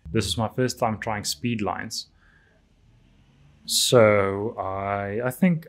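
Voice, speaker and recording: Man, fairly low voice, Afrikaans native speaker, casual art walkthrough, halting, lots of ums. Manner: hesitant, many ums and restarts. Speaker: artist reviewing his drawings. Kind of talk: casual narration over artwork.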